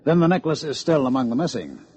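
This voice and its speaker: An American actor playing a dry, needling police lieutenant with a sardonic manner in an old-time radio detective drama. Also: male voice, mid-range voice, very animated, fast, darkish tone, slightly rough. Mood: puzzled, investigating. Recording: muffled old radio recording.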